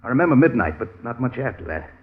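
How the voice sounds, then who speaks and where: An actor playing a bewildered, anxious American prisoner accused of murder, speaking haltingly and earnestly in an old-time radio crime drama. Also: male voice, medium pitch, big pitch swings, lightly acted. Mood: earnest, uncertain. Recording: tinny, narrow vintage radio recording.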